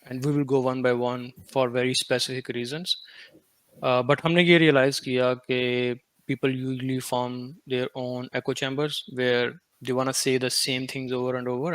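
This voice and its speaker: A young man from Pakistan hosting a casual podcast discussion, talking haltingly with many fillers and switching between English and Hindi-Urdu. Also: mid-range voice, fairly bright tone, no echo. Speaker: podcast host.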